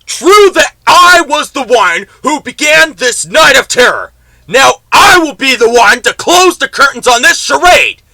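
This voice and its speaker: A man playing a ranting, vengeful, theatrical villain in an amateur radio drama, his voice strained and gravelly. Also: very high-pitched, very animated, unhurried, bright, forceful tone, no echo, exaggerated voice, low-quality recording. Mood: menacing, climactic.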